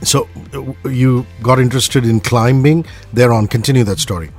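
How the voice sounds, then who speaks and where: A man's voice: mid-range voice, normal speed, soft background music. Jokey radio host man. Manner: jokey, chatty, laughs often. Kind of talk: morning radio interview. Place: radio studio.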